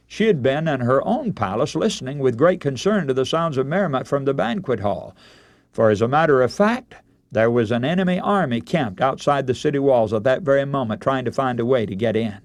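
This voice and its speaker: Solemn radio preacher, animated pitch. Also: male voice, no room sound. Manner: solemn, deliberate oratory with dramatic emphasis. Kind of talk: radio sermon, dramatic Bible storytelling.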